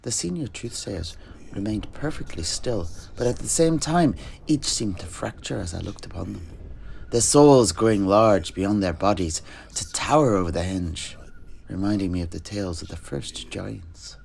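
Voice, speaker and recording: A man playing a wistful alchemist and truth-sayer, recounting his homeland in measured, dramatic, poetic fantasy storytelling. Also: fairly low pitch, lively intonation, gravelly, darkish tone, slight background noise. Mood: awed, grave.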